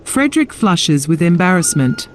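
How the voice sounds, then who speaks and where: A woman's voice: lowish voice, very animated, normal speed, a little hiss or hum. A woman reading scripted story narration for an audio drama. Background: music.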